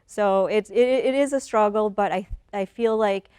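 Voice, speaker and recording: Smooth-voiced woman in her forties. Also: medium pitch, moderate pace, dark tone, no room sound, clean studio sound. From Michigan, USA. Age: 40s. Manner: thoughtful, repeats words as she gathers thoughts, laughs easily. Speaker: guest. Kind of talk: conversational interview.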